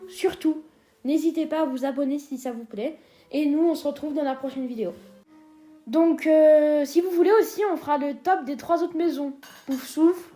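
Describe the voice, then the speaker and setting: A woman's voice: high voice, mellow. A woman co-hosting a casual ranking video, teasing and joking with lots of hesitant fillers. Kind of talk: casual scripted chat.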